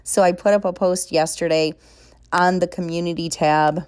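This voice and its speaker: A woman's voice: on the low side, fairly even intonation, moderate pace, darkish tone, clear, resonant tone. A woman, chatting casually in a home vlog, a little nasal and congested from a cold, bubbly and talkative. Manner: bubbly and talkative, many fillers. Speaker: vlogger. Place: home kitchen.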